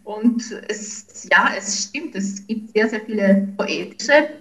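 A woman's voice: medium pitch, lively intonation, slow, poor audio. A woman in her forties, a native speaker of Ukrainian, answering interview questions as a language researcher, thoughtful and full of small fillers and restarts. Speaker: linguistics researcher.

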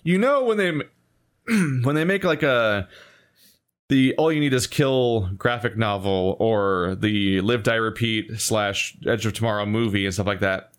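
A man's voice: on the low side, unhurried. A man in a casual critical chat about a video game, rambling and ranting emphatically in exasperation.

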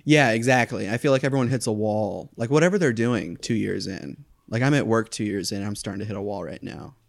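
Male comic guest from Florida, low sleepy voice, rambly and giggly. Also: fairly quick, vocal fry. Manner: rambling, filler-heavy, giggly. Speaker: stand-up comedian guest. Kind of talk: casual chat.

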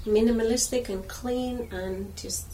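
A woman from Iceland in casual chat, gently asking about a drawing with hesitant phrasing. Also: fairly high pitch, fairly even intonation, a little slow. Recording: distant mic with faint background noise.

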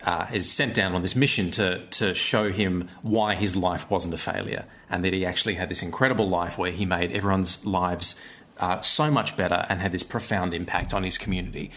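A man with a fairly low voice talks as a guest. He explains in a conversational, thoughtful way. He often hesitates and restarts as he finds his phrasing. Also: steady tone, brisk, a bit bright. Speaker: podcast guest, theatre maker.

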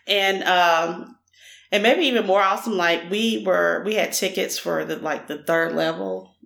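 A woman's voice: lowish voice, a little slow, gravelly, fairly bright tone. Middle-aged woman, candid, in an echoey room. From Nashville, Tennessee. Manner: frequent fillers, thoughtful and candid. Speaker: guest. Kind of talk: casual interview answers. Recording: roomy echo.

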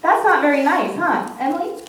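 A woman's voice: high voice, expressive, fairly quick, a bit bright. A woman pastor speaking to a congregation in simple, encouraging language, as in a children's message and sermon. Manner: encouraging, simple questions and repeating answers back. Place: indoors, large reverberant church. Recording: very echoey church, distant mic, faint noise.

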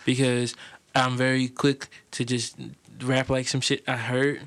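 A man in loose, slangy casual chat with a friend, with a soothing speaking voice that he says turns raspy when he raps. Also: mid-range voice, monotone, unhurried, fairly bright tone. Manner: loose slangy banter, teasing.

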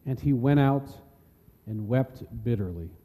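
Reverberant church, male narrator, medium pitch, mellow, solemn measured scripture reading. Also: expressive. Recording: long church hall reverb.

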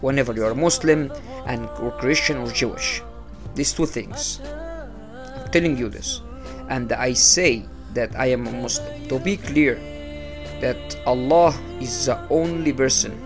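Egyptian male podcast host, English, unhurried motivational talk, budget mic. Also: medium pitch, animated, over music. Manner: unhurried, repeats phrases, non-native English. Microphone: small low-cost podcast setup.

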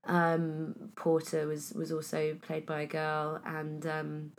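A woman in her thirties from Wales, an actress chatting casually in an interview, her voice a bit husky and hoarse today, with many fillers and restarts. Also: deep voice, completely flat delivery, takes it slowly, slight background noise. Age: 30s.

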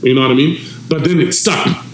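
A man from Zimbabwe whose first language is Shona, a DJ telling his story in a casual, animated way with laughs and shout-outs, in a restaurant lounge. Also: medium pitch, a little fast, roomy sound, slight background noise, poor audio. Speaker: DJ guest.

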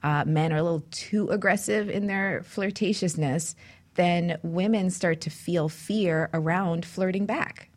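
Woman, from New York City, slightly low pitch, earnest, emphatic, casual radio conversation. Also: measured pace.

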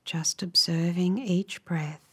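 Slow, low-pitched woman guiding meditation. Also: husky. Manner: calm, measured, instructive. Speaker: meditation guide. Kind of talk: guided meditation narration.

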